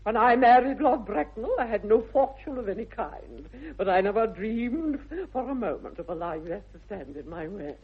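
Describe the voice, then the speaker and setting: An actress playing a haughty older aristocratic English aunt, grand and emphatic, in a 1960s radio comedy. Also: female voice, mid-range voice, animated, normal speed, few pauses, close to an everyday voice. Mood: commanding. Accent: upper-class English. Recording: muffled, tinny vintage radio recording.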